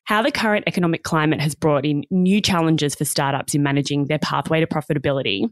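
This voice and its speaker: A woman hosts a business interview podcast. Her voice sits low and she reads her introduction in a steady, polished way before asking her guest questions. The recording is close and dry. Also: fast, dry recording. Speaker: podcast host.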